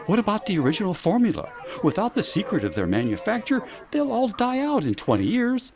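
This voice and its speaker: A man's voice: high-pitched, huge pitch swings, brisk, full character voice. A man playing an eager, scheming colleague with an urgent, persuasive manner in a 1930s-style radio drama. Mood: urgent persuasion.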